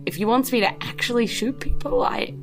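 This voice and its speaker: A woman's voice: a little high, a little fast, soft background music, voice pushed up, lightly acted. A woman playing a laid-back, naive young female farm guard in improvised tabletop roleplay, talking in a hesitant, vague, rambling way. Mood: casual, unsure.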